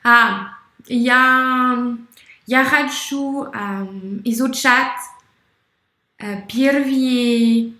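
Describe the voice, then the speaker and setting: A young woman with a French accent, speaking slowly and haltingly on a video call, searching for words with many fillers. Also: a little high, pushed and bright, roomy sound. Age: young adult. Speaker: language-learning video host. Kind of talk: halting conversation in a learned language. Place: small room indoors. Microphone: red headphones, webcam video call.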